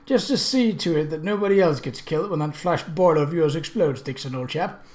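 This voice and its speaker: A narrator voicing an excitable, mocking English gentleman motorist with a patronizing manner, in a storybook reading. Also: medium pitch, fairly quick, lightly acted. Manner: sneering, patronizing.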